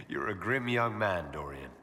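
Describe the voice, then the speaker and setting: A man playing a sincere elven hero in a fantasy video game, speaking in an earnest, softly direct and gently teasing way. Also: fairly low pitch, very animated, takes it slowly, slight background noise, full character voice. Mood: tender. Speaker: player character in a fantasy role-playing game. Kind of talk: video game character dialogue.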